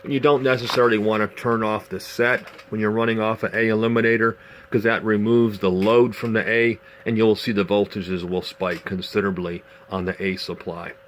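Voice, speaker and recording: A man giving a casual, unscripted show-and-tell demonstration in a measured, explanatory way, pausing as he goes. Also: lowish voice, level tone. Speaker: vintage radio collector presenting a demonstration. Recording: clearly noisy amateur home video.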